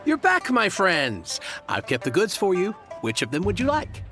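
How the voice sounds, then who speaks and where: High-voiced, sentimental male shopkeeper. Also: wildly swooping pitch, sharp tone, heavily acted. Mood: polite, curious. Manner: theatrical, sighing, halting. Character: courteous, sentimental male jade merchant. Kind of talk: video game voice acting. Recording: game music behind. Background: game music.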